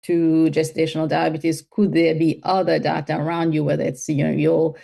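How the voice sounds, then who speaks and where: A woman from Mauritius speaks as an interview guest, her voice low and mellow. She thinks aloud, circling back with fillers and restarts as she builds each answer. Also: little pitch movement, moderate pace, creaky. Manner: thoughtful, with many fillers and restarts. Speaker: guest, health tech CEO.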